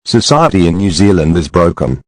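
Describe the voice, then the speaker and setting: Low-voiced man acting as grave emergency announcer. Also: very animated, a little fast, no room sound, low-quality recording. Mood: grave, formal. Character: grave male emergency broadcast announcer delivering final warning. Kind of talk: scripted emergency alert narration.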